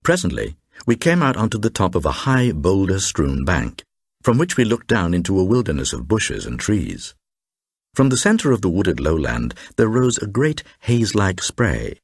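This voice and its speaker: A very deep male voice, playing a grave traveller recounting an eerie radio tale. The delivery is deliberate and suspenseful, swinging widely in pitch, with a distinctly husky grain. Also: very animated, no room sound, studio quality, exaggerated voice. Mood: ominous. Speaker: narrator. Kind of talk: dramatised first-person reading of a supernatural horror tale for radio.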